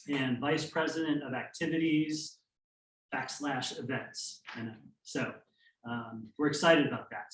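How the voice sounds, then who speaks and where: A man hosting a club meeting, making friendly, chatty announcements with "um" fillers. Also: medium pitch. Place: church meeting hall. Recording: echoey hall, mic at a distance.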